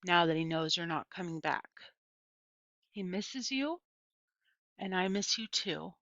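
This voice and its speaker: A woman's voice: on the low side, lively intonation, unhurried, a bit bright, no echo. A woman voicing an earnest, tender and plucky young girl in a storybook, with plain folksy phrasing. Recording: dull, lo-fi, dry close recording.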